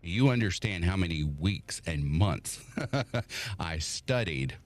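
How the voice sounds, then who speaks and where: A man with a very low voice that rises and falls a lot as he talks. He chats on a podcast and tells his story with animation, putting on the voices of the people in it. His voice has the kind of depth that gets called a radio voice. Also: expressive, slow pace. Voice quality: voice praised as made for radio. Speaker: guest, story narrator. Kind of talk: casual chat on a podcast.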